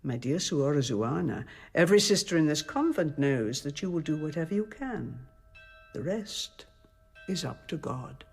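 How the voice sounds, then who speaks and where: An actress playing a composed, shrewd abbess in a radio drama, speaking in a measured, formal and authoritative way. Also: female voice, sits low, animated, vocal fry, soft background music, heavily acted. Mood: firm, soothing.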